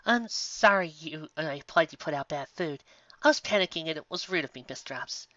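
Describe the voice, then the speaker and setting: A woman's voice: sits low, lively intonation, a little fast, fairly bright tone, dry recording. A woman voicing an anxious, perfectionist young unicorn mare in a storybook reading, with a frantic, panicky manner. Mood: apologetic. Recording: slightly muffled recording.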